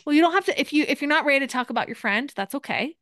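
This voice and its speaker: A woman's voice: high voice, racing, no echo. Upbeat woman coach, dry close sound. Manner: encouraging, direct, animated. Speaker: business coach. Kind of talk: live group coaching session.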